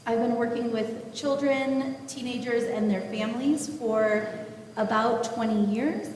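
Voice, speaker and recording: A woman's voice: a little high, darkish tone. A middle-aged woman with an American accent, introducing herself informally as a panelist. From United States. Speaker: panelist, clinical social worker. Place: indoor room at live panel. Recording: very echoey, distant mic, faint noise.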